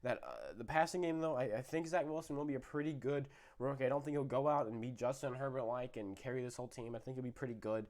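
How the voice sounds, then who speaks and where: A man's voice: mid-range voice, fast talker, hardly any pauses, darkish tone. A young man, a little sick, giving casual football predictions with lots of fillers and self-corrections. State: slightly sick, voice a bit off. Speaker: sports video host.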